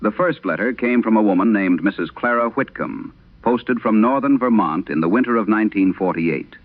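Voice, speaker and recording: A very deep-voiced, solemn host of a vintage radio horror show , played by a man. He speaks gravely and formally, with sweeping intonation. The sound is muffled, like an old radio broadcast. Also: expressive, natural voice. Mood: solemn, ominous. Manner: grave, deliberate, formal storytelling. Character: solemn late-night radio host of eerie letters.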